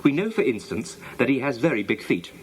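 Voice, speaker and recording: Cross young club chief for a storybook, authoritative, lively intonation, slightly husky; man performs him. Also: medium pitch, brisk. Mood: businesslike. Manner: authoritative, impatient. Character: bossy, cross schoolboy leading a secret club. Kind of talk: children's storybook character reading.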